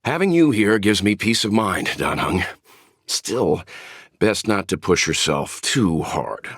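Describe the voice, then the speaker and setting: An actor playing a calm, kindly, seasoned space traveler and mentor in a video game, speaking in a measured, reflective way. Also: male voice, fairly low pitch, slightly rough, no room sound, studio quality, full character voice. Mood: warm encouragement. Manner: measured, reflective, gently avuncular. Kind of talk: scripted game character voice lines.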